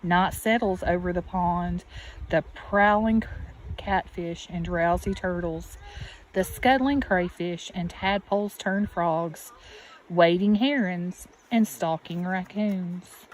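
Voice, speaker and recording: Lady teacher doing a slow picture book read-aloud for kids, medium pitch, outside w birds and a bit of background noise. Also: low-quality recording. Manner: deliberate, expressive, asks the listeners questions. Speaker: elementary school teacher reading aloud. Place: outdoors beside a natural pond. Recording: steady outdoor background noise. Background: birds and outdoor ambience.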